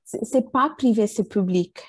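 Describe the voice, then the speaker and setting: A woman's voice: on the high side, a little slow, husky, dry recording. Hesitant woman, dry sound. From Italy. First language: Bengali and Italian. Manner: hesitant, many fillers and restarts. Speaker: interview guest. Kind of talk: conversational interview.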